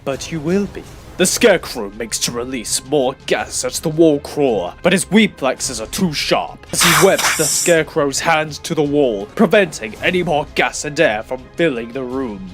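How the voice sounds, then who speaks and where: Dramatic male narrator, slightly high pitch. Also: animated, normal speed, hardly any pauses, music in the background.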